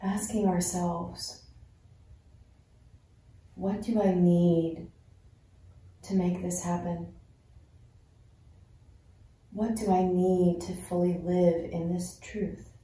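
A woman leading a guided meditation in a soothing, unhurried manner with long pauses between phrases. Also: lowish voice, flat delivery, mellow. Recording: very echoey, reverberant sound.

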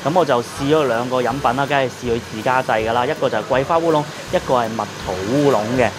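A man's voice: medium pitch, quick pace, noisy background. A middle-aged man with a Hong Kong Cantonese accent, giving a chatty, explanatory food review in a casual vlog style. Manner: chatty, explanatory, frequent sentence particles. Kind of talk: casual restaurant review narration.

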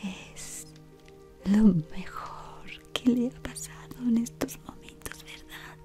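A woman whispering as a fawning dark servant. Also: fairly high pitch, fairly even intonation, slow pace, soft background music. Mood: sinister devotion. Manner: whispered, devoted and sinister. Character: fawning scheming female dark-wizard servant. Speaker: ASMR roleplayer. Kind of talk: whispered ASMR roleplay. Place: dim room indoors.

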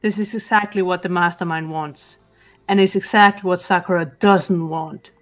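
A woman's voice: on the low side, fairly even intonation, natural voice. A woman as a composed teenage girl over music. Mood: quietly reproachful. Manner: measured, stern. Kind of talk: amateur video game voice-over. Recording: background music throughout.

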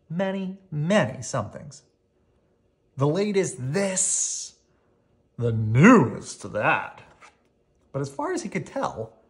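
A bedtime story read aloud slowly and expressively. The pitch swings widely, with a theatrical, playful delivery and deliberate pauses, at a middle pitch. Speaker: storybook reader.

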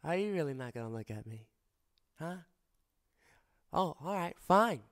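A man's voice: on the high side, animated, measured pace. A man playing a cocky, teasing fire-wielding boyfriend. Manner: teasing, playful, drops to close whispers. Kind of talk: ASMR boyfriend roleplay.

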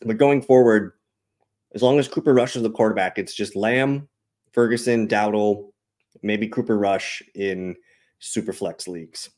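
A man with a fairly low voice hosts a fantasy football show. He runs through statistics and gives his analysis casually. His delivery is conversational and matter-of-fact, like a solo livestreamer talking to viewers. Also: a little mellow. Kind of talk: casual sports analysis, reading out stats.

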